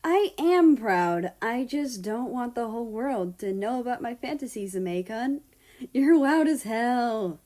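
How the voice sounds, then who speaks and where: A woman's voice: on the high side, expressive, measured pace, dark tone, pitched above the performer's own voice. A woman voicing a small, melodramatic, easily embarrassed cat-like girl who squeals indignantly in a story. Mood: huffy.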